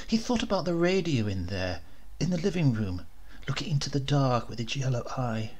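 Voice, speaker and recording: A man narrating a horror short story dramatically, with deliberate, suspenseful, theatrical emphasis. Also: mid-range voice, vocal fry. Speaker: audiobook narrator.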